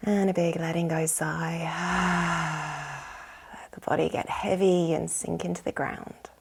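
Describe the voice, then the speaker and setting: A woman with a soft, breathy, whispery voice, soothingly guiding a yoga nidra relaxation with gentle instructions. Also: on the low side, flat delivery, moderate pace. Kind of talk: guided relaxation meditation.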